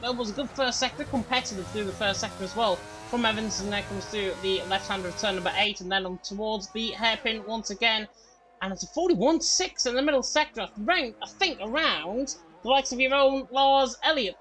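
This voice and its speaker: Bright-voiced excited racing commentator, man. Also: high-pitched, rough recording. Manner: fast, excited play-by-play. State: slightly croaky from being unwell. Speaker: lead commentator.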